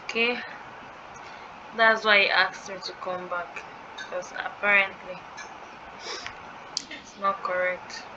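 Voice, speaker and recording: A woman's voice: mid-range voice, fairly even intonation, slow, pauses often. A young woman thinking aloud as she fills in an online form for a tutorial, hesitant and musing. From Nigeria. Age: young adult. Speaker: scholarship tutorial creator. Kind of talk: screen-recorded walkthrough. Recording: dull lo-fi sound with clear background noise.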